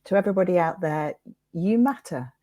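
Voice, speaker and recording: A woman with a dark, mellow voice pitched a little low hosts an interview. She talks conversationally, with an earnest and encouraging manner toward guest and listeners. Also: expressive, a little fast. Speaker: interview host.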